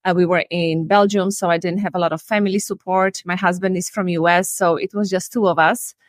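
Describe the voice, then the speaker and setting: A woman's voice: lowish voice, fairly even intonation, fairly quick, no echo. Earnest Polish-accented woman, dry sound. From Poland. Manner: earnest, reflective, slightly non-native phrasing. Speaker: life and relationship coach guest. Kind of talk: candid personal storytelling. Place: home room, indoors. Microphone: video call.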